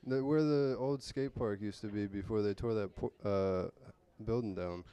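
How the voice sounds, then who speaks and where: Soft-spoken man, deep mellow tone, laid-back co-host on a close mic. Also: normal speed, clear, resonant tone, no echo, dull audio. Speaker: podcast co-host. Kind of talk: casual chat. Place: radio studio. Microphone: close studio microphone.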